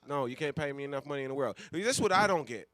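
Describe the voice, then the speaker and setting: Fast-talking man, profane radio banter. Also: mid-range voice, no echo. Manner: profane, slangy, animated ranting with rhetorical questions. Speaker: radio show host. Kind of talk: casual comic banter on a live talk show.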